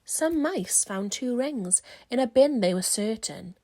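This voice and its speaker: Higher-pitched woman reading a children's storybook. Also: animated, measured pace, warm tone, no room sound. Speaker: storybook reader. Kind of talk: scripted children's story narration in rhyme.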